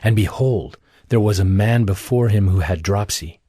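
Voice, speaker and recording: Low, husky male narrator. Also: moderate pace, mellow, no room sound, studio quality. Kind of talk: scripted scripture narration for audio drama.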